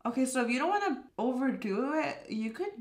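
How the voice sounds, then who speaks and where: A woman's voice: high voice, animated, fairly quick. A woman in her twenties rambling through a get-ready-with-me makeup chat, thinking aloud with lots of fillers. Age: 20s. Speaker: beauty vlogger. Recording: roomy echo.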